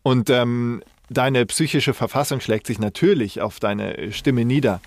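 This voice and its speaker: A man's voice: medium pitch, measured pace. A man explains things in casual podcast conversation as a co-host, often correcting himself as he goes. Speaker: podcast co-host and professional news presenter.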